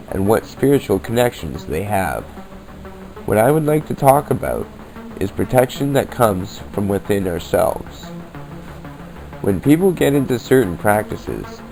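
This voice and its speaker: A man hosting a podcast, reading scripted narration in an earnest, deliberate manner over a basic amateur recording. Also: on the low side, gravelly, a little mellow, rough recording. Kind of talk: scripted narration on the paranormal in old-time radio format. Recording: basic amateur equipment, music underneath.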